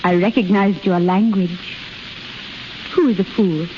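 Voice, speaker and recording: A woman's voice: medium pitch, fairly even intonation, a little slow, muffled recording, close to an everyday voice. An actress playing a frail, lovesick young woman invalid, plaintive and earnest, in a hissy 1930s radio drama. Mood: curious, light.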